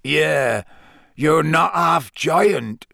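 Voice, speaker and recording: A man voicing a huge, humble half-giant in a storybook reading, with a hoarse, croaky voice and halting rustic speech. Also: on the high side, lively intonation, bright, forceful tone, no echo, studio quality, pitched above the performer's own voice, exaggerated voice. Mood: tearful, doubting.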